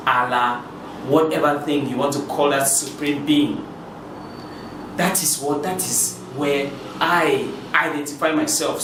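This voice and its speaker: A man from Cameroon giving a preachy motivational talk to his viewers, with many repeated words and restarts. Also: on the high side. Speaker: motivational speaker. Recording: echoey room from a distance with noise.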